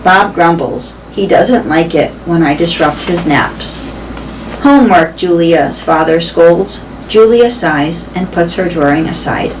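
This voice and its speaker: A woman's voice: fairly low pitch, unhurried, few pauses, recorded from a distance, rough recording. A woman reading a children's story aloud in a storytelling style. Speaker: reader of a children's novel. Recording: clear background noise.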